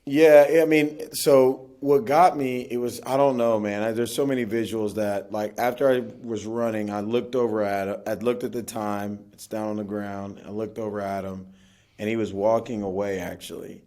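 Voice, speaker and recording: A man's voice: on the low side, fairly even intonation, normal speed, dark tone. A man who is a pastor, talking about faith in a reflective, earnest way as a podcast guest. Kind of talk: casual conversational interview.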